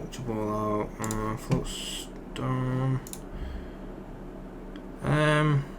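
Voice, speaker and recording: A young man, croaky from just waking up, rambling casually as he commentates a card game he is playing. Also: lowish voice, background noise. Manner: rambling, thinks aloud with fillers. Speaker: gaming channel host.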